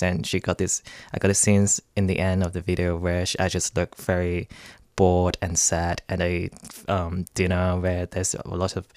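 A man's voice: low-pitched, a little mellow, clear, resonant tone, dry recording. A man from Hong Kong, with a Hong Kong accent, explaining things casually as a guest in a studio interview. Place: radio studio.

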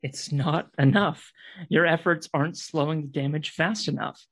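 A man's voice: on the high side, normal speed, a bit bright. An intense young man in a radio drama, played by a male actor. He is distraught and angry, a climate radical who presses his case insistently and accuses as he goes. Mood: accusing, insistent.

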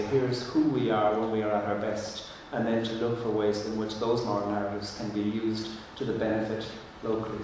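A man's voice: lowish voice, far from the mic, a little hiss or hum. Irish man in an echoey hall. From Ireland. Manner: earnest, thoughtful, with frequent restarts. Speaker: panel speaker. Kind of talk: panel discussion.

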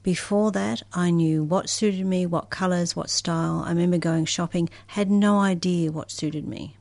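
A woman's voice: lowish voice, steady tone, moderate pace, vocal fry, a little mellow, no echo. A woman candidly recounting her own life story as a guest in a discussion. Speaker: guest sharing personal story. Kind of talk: personal recollection.

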